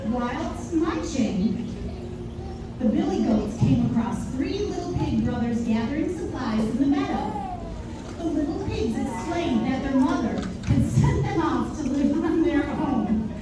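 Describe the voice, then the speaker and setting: A woman reading a fairy tale aloud as live narration for a children's show, pausing for emphasis on key words. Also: high-pitched. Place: echoey room at a live preschool performance. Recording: distant, very echoey room with clear noise.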